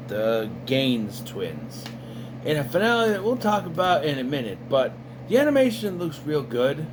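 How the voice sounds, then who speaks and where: A man in casual commentary, reviewing an animated series in a rambling, sarcastic way with lots of fillers. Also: on the high side, noisy background. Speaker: video reviewer.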